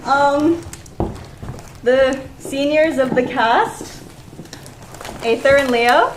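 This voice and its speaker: High-voiced young woman in an echoey room. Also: extremely slow. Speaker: student cast member giving a tribute. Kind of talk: informal tribute speech. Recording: echoey room with faint noise.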